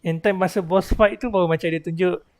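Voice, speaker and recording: A man's voice: high voice, steady tone, fast talker, darkish tone. A Malaysian man chatting casually in slangy, code-switching live game commentary. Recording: game music behind the voice. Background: game music.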